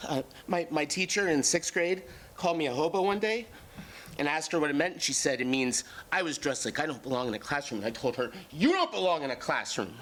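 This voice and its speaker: A man's voice: on the high side, animated, a little fast, a bit bright. A man playing a troubled, drug-addled adult son who rambles and stammers in a childlike way during a staged play reading. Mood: complaining. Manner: stammering, rambling, repeats words.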